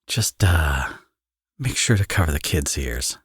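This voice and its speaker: Man acting deep, husky, teasing villain dad. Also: lively intonation, a little slow, dry recording, clean studio sound, exaggerated voice. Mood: confident, teasing. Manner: smug, playful, conversational sighs and teasing. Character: teasing, flirty male villain, now a doting father. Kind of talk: ASMR audio roleplay, romantic drama acting.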